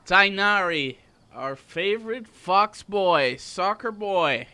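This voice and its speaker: A man's voice: high voice, lively intonation, takes it slowly, pushed and bright, clear, resonant tone, no room sound. A man giving casual, playful let's-play commentary on a video game, often repeating himself. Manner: repetitive, playful. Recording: game music behind the voice.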